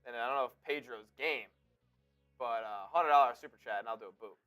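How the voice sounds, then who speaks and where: A man's voice: mid-range voice, fairly quick, a bit bright, faint music behind. A man co-hosting a stream, chatting casually with a nasal, congested voice, rambling and joking with many restarts. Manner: rambling, joking, self-interrupting. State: congested from allergies. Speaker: co-host. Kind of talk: casual chat.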